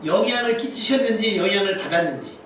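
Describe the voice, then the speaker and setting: High-voiced male preacher in a very echoey space. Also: fast talker, fairly bright tone. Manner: rhetorical questions, repeats key phrases for emphasis. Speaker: pastor preaching. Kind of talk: Sunday church sermon to a congregation. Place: indoor church service. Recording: very echoey church hall, distant mic, faint noise.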